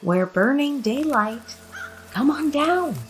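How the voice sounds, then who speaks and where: A woman playing a bossy, eerie teenage girl leading a séance in an audio horror drama, taunting and drawn-out in her delivery. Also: high voice, expressive, faint music behind. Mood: commanding, coaxing.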